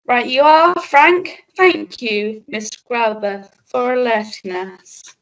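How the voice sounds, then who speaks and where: Woman as a police sergeant, high voice, slow deliberate commands, bossy, radio play. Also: animated, muffled recording. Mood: commanding. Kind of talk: melodramatic radio play acting.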